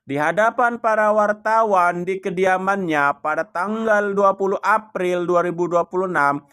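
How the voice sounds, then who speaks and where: A man delivering scripted political commentary narration in a measured, deliberate way. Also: sits high, pushed and bright, clean tone. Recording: faint background music.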